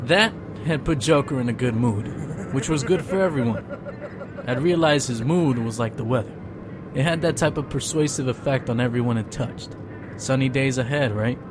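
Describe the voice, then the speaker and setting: A man's voice: mid-range voice, moderate pace, background noise, lightly acted. A man playing a hard-boiled henchman who narrates in a brooding, terse, world-weary noir style for a comic-book drama. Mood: wry. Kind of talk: noir first-person narration. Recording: loud music and gunfire behind.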